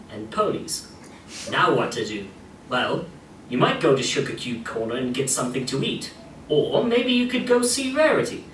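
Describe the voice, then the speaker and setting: An echoey, distant recording with faint noise of a male game narrator. His medium-pitched voice moves up and down animatedly as he reads scripted scene descriptions.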